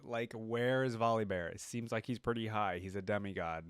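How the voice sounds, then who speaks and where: A man's voice: lowish voice, moderate pace, slight background noise. A man hosting a reaction video, commenting casually off the cuff and musing aloud in questions. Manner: musing, often trailing into questions.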